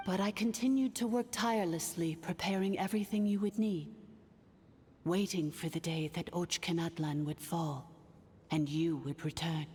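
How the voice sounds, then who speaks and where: Game role: ancient artificial intelligence sovereign, formal and solemn, lower female register, cavernous echo. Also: steady tone, darkish tone, husky. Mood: grave. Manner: formal, measured, grave exposition. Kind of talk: video game voice acting. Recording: long cavernous reverb, music underneath.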